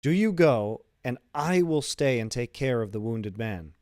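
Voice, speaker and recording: A man's voice: medium pitch, animated, a little slow, darkish tone, dry recording, clean studio sound, close to an everyday voice. A man voicing a kind-hearted, loyal sailor in audiobook dialogue. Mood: decisive. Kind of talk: audiobook character dialogue, literary.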